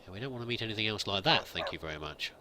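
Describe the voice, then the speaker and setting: A man's voice: lowish voice, expressive, racing, sharp tone, slight background noise. A man hosting a roleplay let's play, chatting and musing aloud and reading in-game text as he plays.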